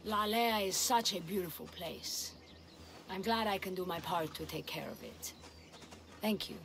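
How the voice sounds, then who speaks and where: A woman's voice: on the low side, a little slow, vocal fry, noisy background. A woman playing a bold, fierce young warrior from a Greek village in a video game cutscene. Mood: warm. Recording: loud music behind the voice.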